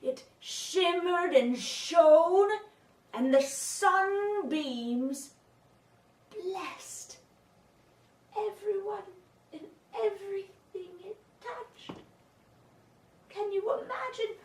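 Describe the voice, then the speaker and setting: A woman playing a wistful, dreamy villager in a theatrical monologue, halting as she searches for words. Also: very high-pitched, expressive, full character voice. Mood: rapturous, tearful. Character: wistful, dreamy female villager longing for brighter days. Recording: echoey room, far from mic.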